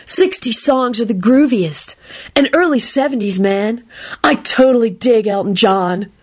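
A woman's voice: on the high side, expressive, measured pace, pitched above the performer's own voice. A woman narrator voicing a blissful, laid-back hippie who talks in groovy slang, for an audiobook. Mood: approving.

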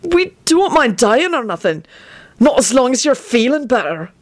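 A woman's voice: sits high, sing-song, moderate pace, no room sound, pitched above the performer's own voice. Woman voicing an anxious, sarcastic young man. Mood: sullen, gloomy. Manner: exasperated, sardonic.

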